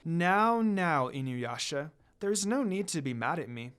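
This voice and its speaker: A jealous, menacing undead priestess as a story reader acts her out. She is a storybook villainess whose pitch moves widely from line to line. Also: sing-song, measured pace, a bit bright, faint music behind. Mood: coolly taunting.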